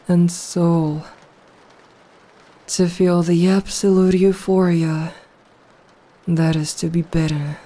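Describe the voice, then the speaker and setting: A dominant, predatory vampire woman, acted by a woman in an ASMR roleplay. She speaks extremely slowly in a mellow, dark tone, hushed and hypnotic. Her pitch barely moves, keeping every phrase controlled and possessive. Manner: slow, hushed, deliberate, hypnotic. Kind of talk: slow, intimate ASMR roleplay.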